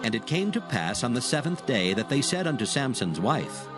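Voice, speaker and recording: A man narrates scripture at medium pitch with lively inflection. His storytelling is solemn and measured, with music clearly playing behind him.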